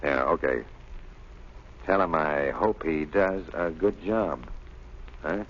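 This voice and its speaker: An actor playing a gruff, scheming, domineering prison warden in a 1930s radio drama. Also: male voice, rumbling low, takes it slowly. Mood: conspiratorial. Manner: brusque, blustering. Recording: muffled, hissy 1930s radio recording.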